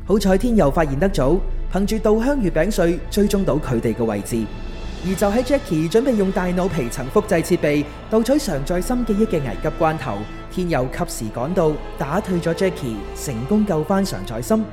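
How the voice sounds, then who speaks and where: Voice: mid-range voice, very animated, fairly quick, music in the background. A narrator with a Hong Kong Cantonese accent giving a dramatic scripted story recap.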